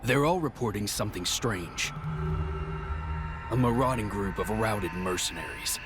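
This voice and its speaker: Dutiful soldier, lowish voice. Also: male voice, expressive, a little slow, over loud music. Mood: urgent. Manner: formal, deferential, clipped reporting. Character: dutiful, formal soldier reporting to his monarch. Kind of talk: video game voice acting.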